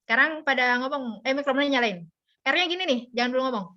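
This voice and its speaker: A woman's voice: high-pitched, fast talker, bright, forceful tone. A woman giving an informal, playful lesson as a public speaking instructor over a video call. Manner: playful, joking, colloquial. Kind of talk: informal interactive online lesson. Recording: dull, band-limited video call audio.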